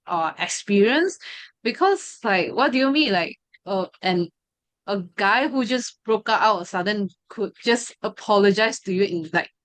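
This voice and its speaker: A young woman talks through a personal testimony. She hesitates often, filling gaps with "uh" and "you know" and restarting her sentences. Her voice sits at a middle pitch and sounds dry and close, with no room around it. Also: lively intonation, moderate pace, fairly bright tone, no echo. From Malaysia. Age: 20s. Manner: hesitant, full of fillers and restarts. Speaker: study group member sharing her story.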